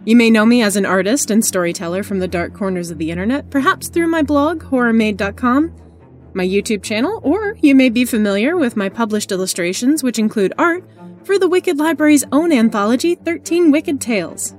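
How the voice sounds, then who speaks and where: A woman introduces a podcast as its guest host. Her voice is on the high side, with expressive, emphatic phrasing, and music plays softly behind her. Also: fairly quick.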